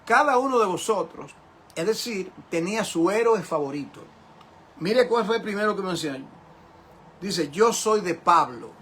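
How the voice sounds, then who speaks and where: Older man preaching, high-pitched voice. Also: animated, moderate pace, breathy edge. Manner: emphatic, repetitive, with rhetorical questions to listeners. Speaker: pastor teaching a Bible class. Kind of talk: sermon-like Bible lesson.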